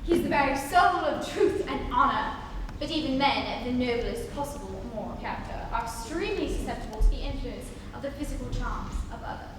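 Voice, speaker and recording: Young woman acting a high-voiced haughty society lady, echoey hall. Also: expressive, a little fast, few pauses. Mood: disapproving candor. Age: young adult. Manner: formal, grand, pointedly polite. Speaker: student reader. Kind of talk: dramatic reading of a stage comedy. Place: large reverberant room. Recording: echoey hall from far off, noisy.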